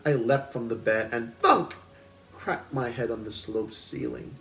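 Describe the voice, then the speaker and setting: A man's voice: medium pitch, animated, measured pace, fairly bright tone, faint music behind. A man hosting a book club, reading aloud expressively at home in a storytelling style.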